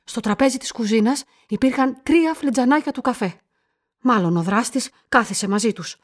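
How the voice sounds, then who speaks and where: An actress playing a murder victim's shaken sister, giving grave, halting testimony in a radio drama. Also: female voice, on the high side, close to an everyday voice.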